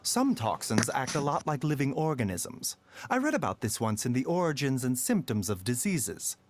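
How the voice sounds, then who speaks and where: Man voicing a gentle, learned game doctor. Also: medium pitch, very animated, no echo, natural voice. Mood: calm. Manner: measured, gentle, explanatory. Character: calm, learned male physician. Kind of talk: video game voice acting.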